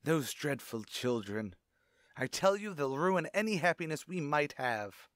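A man's voice: on the high side, sing-song, measured pace, a bit bright, dry recording, clean studio sound. A man playing a brooding, secretive widowed father in formal, grave, melodramatic old-time radio suspense style. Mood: stern, exasperated. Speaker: radio drama actor.

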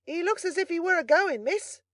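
A woman's voice: very high-pitched, lively intonation, normal speed, fairly bright tone, dry recording, studio quality, pitched above the performer's own voice. A woman voicing an eager, naive young male servant in a storybook, calling out in a bawl. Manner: bawling, eager. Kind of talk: audiobook character voice.

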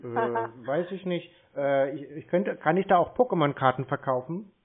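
Deadpan German man on a tinny call. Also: fairly high pitch, normal speed, breathy edge. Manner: deadpan, feigned naive questions. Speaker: prank caller baiting phone scammers. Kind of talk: casual phone chat. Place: phone call on speakerphone. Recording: narrow, tinny phone-call sound.

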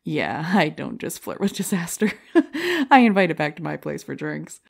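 A woman's voice: low-pitched, expressive, moderate pace, vocal fry, full character voice. An actress playing a brash, self-deprecating female stand-up comic, delivering jokey rhetorical setups and punchlines in an audio drama. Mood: wry, joking. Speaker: comedy contest contestant.

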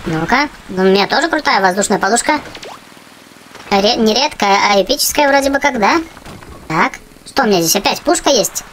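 A woman hosting a gaming channel for children, giving chatty, excitable running commentary on her play. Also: medium pitch, a little fast, a little hiss or hum, rough recording. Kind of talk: casual game commentary for children. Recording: game music behind the voice. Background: video game music.

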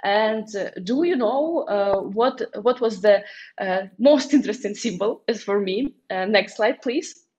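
Casting: female activist speaker, Belarusian accent, mid-range voice, earnest and halting, dull video-call sound. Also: mid-range voice, expressive, darkish tone. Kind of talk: online conference presentation. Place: small indoor room, on a video call. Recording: muffled, lo-fi video call audio.

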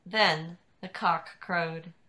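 Slow, bright-voiced woman reading scripture. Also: on the low side, fairly even intonation. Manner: measured, deliberate reading. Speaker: narrator in a church dramatic reading. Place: indoors at home on a video call. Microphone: video call computer microphone.

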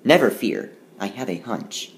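A male teacher reading a rhyming picture book aloud and walking through the math, recorded as a tablet screencast voice-over. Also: slight background noise. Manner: slow, sing-song rhyming read-aloud with lively pitch.

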